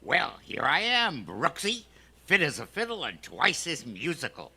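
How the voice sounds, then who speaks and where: A man's voice: on the high side, huge pitch swings, creaky, pushed and bright, exaggerated voice. A man playing a folksy, nosy, suspicious fellow full of corny sayings in a vintage radio sitcom. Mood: jaunty, brash. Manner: drawn-out, stammering when surprised, folksy. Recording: noisy live raw event recording.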